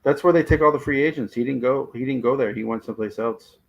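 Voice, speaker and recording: A man co-hosting a fan stream. His voice is starting to go hoarse, and he speaks with emphatic, slightly frustrated energy. The audio is dull and muffled, like a cheap lo-fi mic. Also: mid-range voice, quick pace. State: losing his voice. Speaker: co-host of a fan podcast. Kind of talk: casual live-stream chat.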